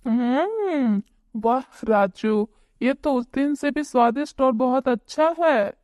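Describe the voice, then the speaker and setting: A woman acts out an ailing village mother in a cartoon moral tale. Her voice is on the high side and moves slowly, drawing out words. She comes across as grateful and fond of her food. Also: lively intonation, darkish tone, no echo, clean studio sound. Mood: delighted. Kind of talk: Hindi cartoon story voice acting.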